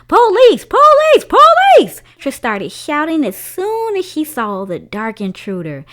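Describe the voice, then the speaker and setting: A woman reading a children's story aloud as a storyteller, with a dramatic, expressive delivery. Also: medium pitch.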